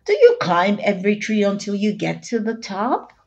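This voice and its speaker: A woman's voice: mid-range voice, very animated, moderate pace, muffled recording, soft background music. A woman voicing a curious, suspicious young child from a picture book, in a dramatic, wondering manner. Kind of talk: picture-book character read aloud.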